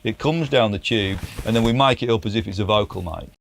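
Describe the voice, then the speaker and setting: A British man presents guitar gear in a low-pitched voice. He talks casually and keenly, as if chatting with a mate. There is clear background noise with some clicks. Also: fairly quick. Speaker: presenter demonstrating guitar pedals. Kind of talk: casual gear review chat.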